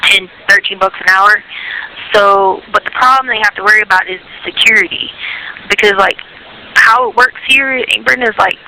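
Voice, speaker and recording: A woman's voice: medium pitch, moderate pace, bright, forceful tone, a little hiss or hum. A woman chatting casually on a prison phone call, explaining things in a rambling way and checking that the listener follows. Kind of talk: casual personal phone chat. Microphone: telephone handset.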